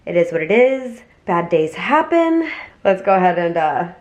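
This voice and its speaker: A woman's voice: fairly low pitch, sing-song, normal speed, darkish tone. A young woman with a cold, chatting candidly to camera in a casual vlog, full of fillers. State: has a cold. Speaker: vlogger. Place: home, grocery store and car.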